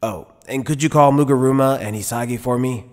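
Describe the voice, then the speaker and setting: A man playing a laid-back, playful older military commander in an anime dub, speaking in an unhurried, mild way. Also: medium pitch, long reverb tail, natural voice. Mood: measured concern. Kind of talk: anime fan dub acting.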